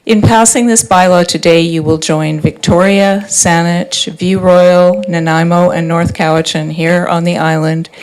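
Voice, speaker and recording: A woman's voice: on the low side, fairly even intonation, darkish tone, poor audio. Older woman reading a prepared comment. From Canada. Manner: measured, reads from notes. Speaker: public speaker at a board meeting. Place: council boardroom. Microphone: desk microphone.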